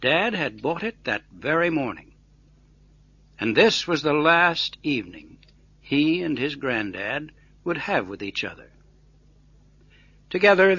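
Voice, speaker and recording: Male story reader: crisp forceful tone, lively intonation, careful enunciation for learners. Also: mid-range voice, no echo, lo-fi sound. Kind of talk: scripted story narration for English learners.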